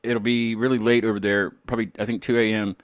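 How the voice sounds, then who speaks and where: A man's voice: on the low side, level tone, fast talker, no room sound. American man chatting, close dry sound. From United States. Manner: rambling, informal, mild swearing. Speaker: interviewer, music show host. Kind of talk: casual chat.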